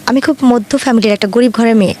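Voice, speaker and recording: A woman's voice: fairly high pitch, fast, darkish tone. A woman in her twenties from Dhaka with a Bangladeshi accent, telling her life story in an earnest, colloquial way as an interview guest. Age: 20s.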